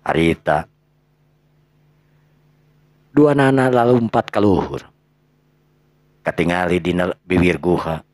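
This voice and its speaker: A man's voice: low-pitched, slow, long pauses, darkish tone, dry recording. A man with a Sundanese accent narrating a folktale dramatically, breaking phrases up for suspense. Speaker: storyteller.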